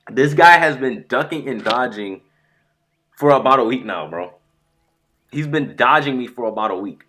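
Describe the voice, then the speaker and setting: A man streaming live about a fighting game, rambling and excitable, sounding stuffy from a sore throat. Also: medium pitch, moderate pace, slight background noise. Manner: excitable, rambling, says "bro" a lot. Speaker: gaming streamer.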